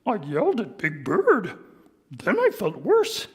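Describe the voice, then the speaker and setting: A man voicing a small excitable furry monster in a read-aloud storybook, in a high, wobbly, overly emphatic voice. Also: slow pace, husky, exaggerated voice. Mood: earnest.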